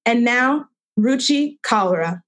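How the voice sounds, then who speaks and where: A woman's voice: fairly high pitch, lively intonation, slow, soft background music. A woman hosting a storytelling event, formally reading out a speaker's introduction and list of credentials. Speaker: event host.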